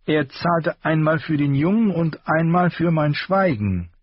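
Man doing a high stubborn old armorer. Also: lively intonation, measured pace, breathy edge, no room sound. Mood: pleading. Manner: high strained pleading voice. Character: gruff older male master armorer, stubborn. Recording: dull lo-fi recording.

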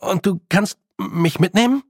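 Man voicing a haughty, nervous young boy, slightly husky. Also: sits high, lively intonation, unhurried, no echo, studio quality, voice pushed up. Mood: haughty, wary. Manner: hesitant, stammering, slightly haughty. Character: young boy, lordly but naive and nervous. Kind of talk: audiobook character voice.

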